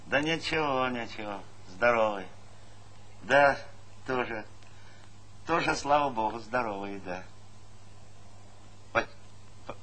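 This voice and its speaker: An actor playing a brisk, folksy, middle-aged collective farm chairman who is bossy and repeats words in quick bursts, in a stage comedy. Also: lowish voice, sharp tone, exaggerated voice. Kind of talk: theatrical stage comedy acting. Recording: noisy 1970s Soviet theatre recording.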